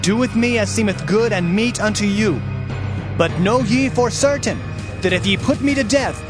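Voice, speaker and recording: High-voiced Jeremiah, earnest prophet played by a man. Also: moderate pace, over music, close to an everyday voice. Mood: earnest pleading. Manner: declamatory, earnest. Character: Jeremiah, earnest male prophet warning his people. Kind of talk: dramatized scripture reading.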